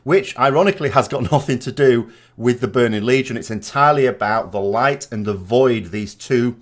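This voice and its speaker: A man's voice: medium pitch, normal speed. A man with a mid-range voice talks as the host of a gaming channel. His commentary is casual and podcast-like, wandering through asides in a conversational way. He stresses his opinions firmly. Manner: rambling, digressive, conversational.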